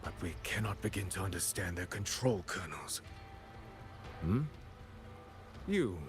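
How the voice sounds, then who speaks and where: An actor playing an earnest, troubled male artisan in a video game, speaking in a deliberate way. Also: deep voice, animated, faint music behind. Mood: baffled. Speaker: artisan character. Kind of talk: scripted video game dialogue.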